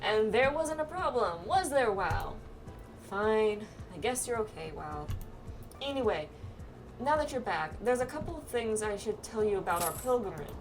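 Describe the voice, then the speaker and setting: A woman with a fairly high voice reads game dialogue aloud in a casual playthrough. Her delivery is halting and conversational, mixed with her own reactions. Game music plays clearly behind her. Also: very animated, poor audio. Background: video game music.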